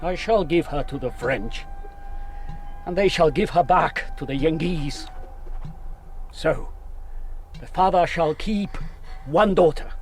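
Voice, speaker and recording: Slow, proud, vengeful warrior chief. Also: male voice, on the high side, soft background music, low-quality recording. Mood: menacing, commanding. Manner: solemn, declamatory, speaks of himself in third person. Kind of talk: radio drama acting.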